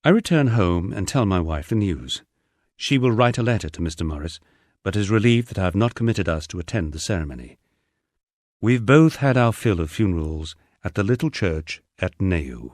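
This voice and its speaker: A man's voice: low voice, lively intonation, normal speed, a little mellow, no echo. A man reading a first-person diary-style memoir aloud with dry, understated storytelling. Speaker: audiobook narrator.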